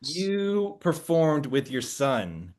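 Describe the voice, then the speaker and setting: A man hosting a casual interview chat, self-deprecating and quick to giggle. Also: on the high side, sing-song, darkish tone. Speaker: podcast host.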